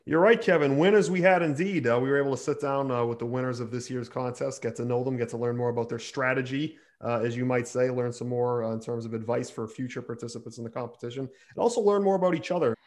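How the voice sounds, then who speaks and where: Boston guy in his 20s, talks fast, jokey and rambly co-host. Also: medium pitch. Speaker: podcast co-host.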